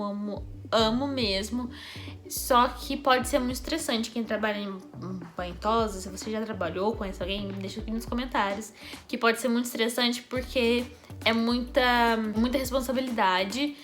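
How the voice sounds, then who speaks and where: A young woman from Brazil with a Brazilian Portuguese accent, telling a personal story in a chatty, animated vlog, laughing often. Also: a little high. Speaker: YouTuber.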